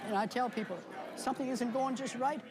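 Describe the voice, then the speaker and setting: A woman's voice: on the high side, steady tone, brisk. A hundred-year-old woman from Minnesota, chatting and reminiscing in a wry, plain-spoken way. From Minnesota, United States. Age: 100 years old. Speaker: birthday celebrant being interviewed. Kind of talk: casual interview reminiscing. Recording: loud crowd at a party.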